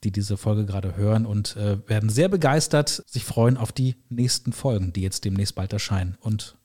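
A man's voice: fairly low pitch, moderate pace, warm tone. A man hosting an interview podcast in a conversational style, effusive and given to emphatic repetition. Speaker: podcast host. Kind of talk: warm-toned conversational interview and sign-off. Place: indoor studio, in person with guest.

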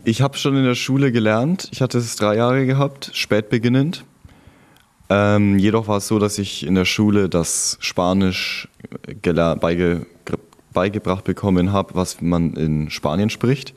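A man's voice: fairly low pitch, fairly even intonation, measured pace. A young man from Germany chatting casually as an interview guest, telling of his own experiences. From Germany, born in Frankfurt and living in Franconia. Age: young adult. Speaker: interview guest, returned volunteer abroad. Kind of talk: casual conversational storytelling.

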